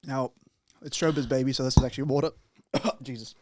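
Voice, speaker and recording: A man's voice: mid-range voice, fairly even intonation, a little fast, a little mellow, dry recording. A young man from Romania hosting a podcast, chatting casually, joking and swearing a lot. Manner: profane, joking, rambling. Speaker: podcast host. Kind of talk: casual chat.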